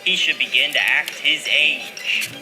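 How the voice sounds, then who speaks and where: An actor playing a grumbling, suspicious hobbit miller in an audio play, carping and insinuating like a spiteful village gossip. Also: male voice, fairly high pitch, measured pace, music in the background, close to an everyday voice. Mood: disapproving. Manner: carping, insinuating, rustic phrasing. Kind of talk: dramatized audio play dialogue.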